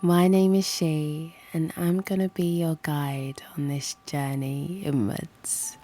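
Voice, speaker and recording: A woman guiding a meditation in a soothing, hushed, gently encouraging way, her soft breathy voice often close to a whisper. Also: low voice, flat delivery, takes it slowly. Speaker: yoga nidra guide and channel host. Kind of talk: guided meditation. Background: soft music.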